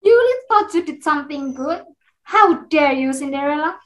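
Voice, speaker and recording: A woman's voice: sits high, expressive, normal speed, a bit bright. A woman playing a spoiled, haughty and demanding young stepsister in a readers theater. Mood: spiteful. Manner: whiny and demanding. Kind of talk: readers theater acting on video call. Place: indoors on a video call.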